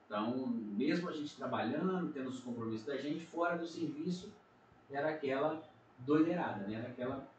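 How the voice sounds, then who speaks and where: A man's voice: mid-range voice, animated, a little fast, a little mellow, highs cut off. A middle-aged man, a pastor from Minas Gerais with a Brazilian accent, giving an earnest testimony in a casual podcast chat. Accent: Brazilian Portuguese, Minas Gerais. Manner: earnest, frequent tag questions. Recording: echoey room, distant mic, faint noise.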